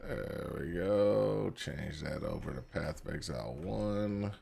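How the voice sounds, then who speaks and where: Deep, slow-talking streamer man with a cold. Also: lively intonation, gravelly, dark tone, husky. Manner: rambling, lots of fillers and restarts. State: has a cold, sniffling. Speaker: gaming streamer. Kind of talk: casual gameplay talk.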